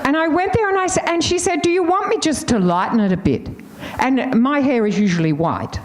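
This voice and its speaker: A woman's voice: high-pitched, lively intonation, brisk, background noise. An older woman with an Australian accent, telling candid, laughing stories as a panelist. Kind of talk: casual storytelling on a panel.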